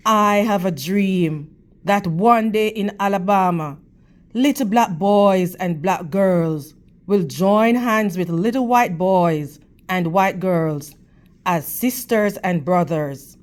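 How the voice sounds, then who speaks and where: A woman reading a picture book aloud to children like a teacher, in deliberate, measured phrases. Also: mid-range voice. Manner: deliberate, measured phrasing with questions and praise addressed to young listeners.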